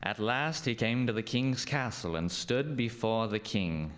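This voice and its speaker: Deep, slow-paced male storyteller. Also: lively intonation. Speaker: narrator. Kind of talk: scripted spooky storytelling for radio play. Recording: faint background noise.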